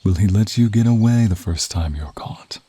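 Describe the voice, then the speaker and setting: A man narrating a scripted ASMR story in a dramatic, hushed manner that often drops into a whisper. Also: on the low side, moderate pace, breathy edge, no echo. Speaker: narrator of an audio roleplay.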